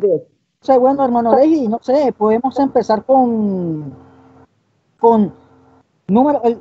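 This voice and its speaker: Man in his twenties, dark husky tone, halting Bible teacher, informal discussion, dull recording. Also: extremely high pitch. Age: 20s. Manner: halting, often adds tag questions seeking agreement. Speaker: Bible teacher co-hosting a broadcast. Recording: dull, muffled lo-fi stream.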